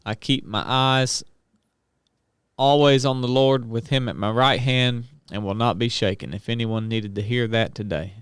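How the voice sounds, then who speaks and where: A man co-hosting a casual podcast, reading donor names and messages aloud in a steady list. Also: mid-range voice, moderate pace, clear, resonant tone, no room sound, studio quality. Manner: reads out super chat names and amounts in a steady list.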